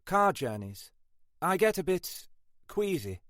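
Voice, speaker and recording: A man's voice: high-pitched, sing-song, slow pace, a bit bright, no echo, studio quality, pitched above the performer's own voice, full character voice. A man voicing a polite, slightly queasy young woman detective sergeant. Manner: polite, tired.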